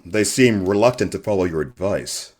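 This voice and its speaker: An actor playing a polite, earnest local radio interviewer in an audio drama, asking questions in a courteous, measured way. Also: male voice, low voice, animated, a little mellow. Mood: probing. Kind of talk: radio theater acting, news interview questions.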